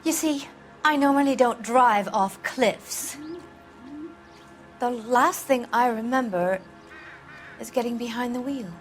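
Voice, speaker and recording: A haughty, drugged film star. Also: female voice, a little high, slow, long pauses, soft background music. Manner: haughty, sarcastic, drifting into whispers. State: drowsy, drugged. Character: proud, sardonic female film star, drugged.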